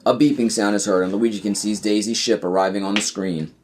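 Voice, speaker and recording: Low-voiced man reading script directions. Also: fairly even intonation, brisk, a little mellow. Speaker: narrator. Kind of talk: scripted narration, screenplay stage directions.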